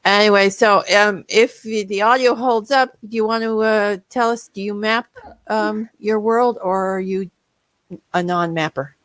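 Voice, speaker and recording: A woman's voice: mid-range voice, steady tone, unhurried, a bit bright, dry recording. A woman moderating an online panel over a video call, talking casually with many fillers and restarts.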